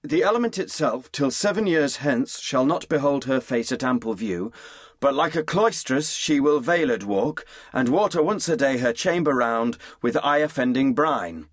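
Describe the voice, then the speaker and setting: Male actor playing a gentleman messenger, mid-pitched, formal, dutiful, measured Shakespearean verse, dry audio drama sound. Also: animated, no echo, close to an everyday voice. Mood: deferential, solemn. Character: dutiful gentleman messenger reporting to his lord.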